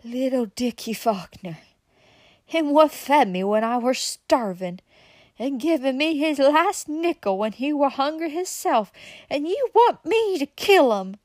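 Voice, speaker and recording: Woman doing a hoarse moonshiner. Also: high-pitched, slow pace, no room sound, clean studio sound. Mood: anguished, fierce. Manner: drawling backwoods dialect, hoarse frightened whispers. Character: rough old mountain moonshiner, superstitious and loyal.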